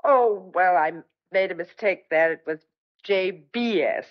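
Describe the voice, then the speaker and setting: A woman's voice: on the low side, measured pace, dull audio, natural voice. An actress playing an anxious, defensive but tender young country woman in an old-fashioned radio play, earnest and hesitant. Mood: flustered, evasive.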